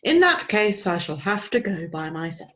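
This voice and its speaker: A woman voicing a dignified, patient father barn owl who talks in a measured, matter-of-fact way. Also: mid-range voice, big pitch swings, a bit bright, highs cut off. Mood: dry.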